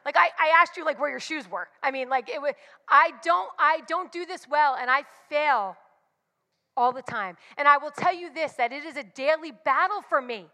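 Woman, 40s, bright forceful tone, confessional devotional talk, reverberant room. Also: sits high, normal speed. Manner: candid and confessional, emphatic repetitions. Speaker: church speaker.

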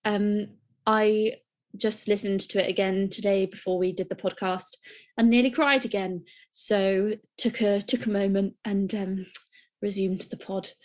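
A woman's voice: mid-range voice, steady tone, a little slow. A young woman, co-hosting a podcast, talking enthusiastically and rambling with restarts. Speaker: podcast co-host. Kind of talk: casual chat.

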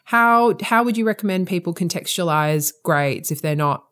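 Australian woman, lowish voice, thoughtful interviewer who restarts her phrases. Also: animated, normal speed, fairly bright tone. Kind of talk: conversational interview.

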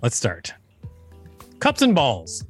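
Animated male podcast co-host, lively pitch. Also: slow pace, slightly rough, soft background music. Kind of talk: reading an encyclopedia page aloud with casual commentary.

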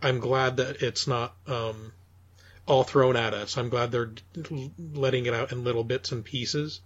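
Guy podcaster chatting casually, kinda halting, repeats words, muffled lo-fi sound. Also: medium pitch, fairly even intonation. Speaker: podcast co-host. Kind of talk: casual chat reviewing audio dramas. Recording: dull, lo-fi voice recording.

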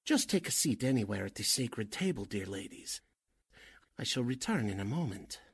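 An actor playing a pompous, oily cult leader who poses as a spirit medium, speaking in grand theatrical incantations in a radio drama style. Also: male voice, mid-range voice, very animated, moderate pace, breathy edge, heavily acted. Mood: unctuous. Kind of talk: 1940s radio drama acting.

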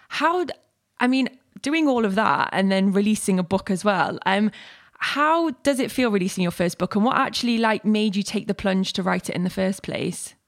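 A woman's voice: medium pitch, vocal fry. A woman hosting an interview podcast, asking questions in an encouraging, chatty way with many fillers. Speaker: podcast host. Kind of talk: conversational interview.

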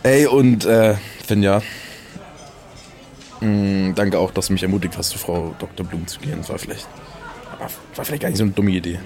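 An actor playing a guarded, awkwardly grateful young man in a naturalistic audio drama, casual, halting and colloquial. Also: low-pitched, a little mellow.

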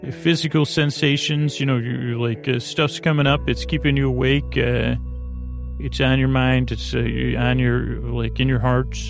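A man hosting a sleep podcast, talking in a meandering, halting, whimsical way with a creaky voice. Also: mid-range voice, flat delivery, soft background music. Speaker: podcast host. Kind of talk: rambling bedtime sleep podcast monologue.

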